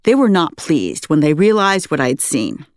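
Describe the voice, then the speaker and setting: An American woman, an author reading her own memoir aloud in an articulate, expressive storytelling manner. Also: low-pitched, normal speed, no room sound, clean studio sound. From United States, West Coast. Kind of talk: scripted memoir narration.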